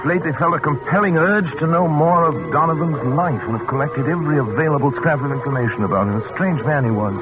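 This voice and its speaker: A man's voice: a little high, quick pace, hardly any pauses, natural voice. Man playing an obsessive scientist on tinny old radio. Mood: brooding, clinical. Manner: clipped, formal, dramatic diction. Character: obsessive scientist, proud and feverishly intense, narrating his experiment journal. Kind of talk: 1940s radio acting. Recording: tinny 1944 radio recording, music behind.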